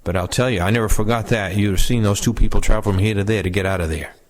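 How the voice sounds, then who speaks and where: A man's voice: fairly low pitch, fast. A man reading first-person war recollections aloud as a reader of oral histories.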